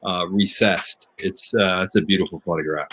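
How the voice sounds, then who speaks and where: A man speaking as a show guest, giving appreciative, conversational commentary in measured phrases. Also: low voice, fairly even intonation, a bit bright, a little hiss or hum, rough recording.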